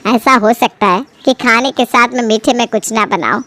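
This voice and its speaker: A woman's voice: on the high side, normal speed, fairly bright tone, pitched below the performer's own voice, natural voice. A woman voicing a dutiful middle-aged mother who speaks obligingly and proudly of her rich cooking.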